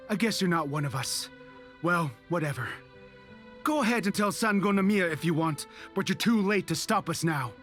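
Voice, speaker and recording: An actor playing a grim, stubborn rebel soldier in a video game, intense and defiant in tone. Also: male voice, high-pitched, expressive, a little slow, pauses often, fairly bright tone, soft background music. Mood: bitter.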